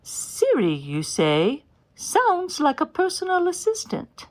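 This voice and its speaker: Woman doing a stuck-up british theatre dame, slow and dramatic. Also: high voice, huge pitch swings, full character voice. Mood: grandiose. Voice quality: haughty, theatrical, self-important. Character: pompous grand old stage dame, woman. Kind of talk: comedy podcast sketch.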